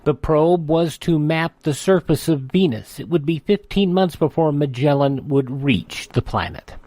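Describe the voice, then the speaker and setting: A man's voice: on the high side, measured pace, mellow, dry recording. A man with an American accent reading a scripted, news-style history narration. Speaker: radio history segment narrator.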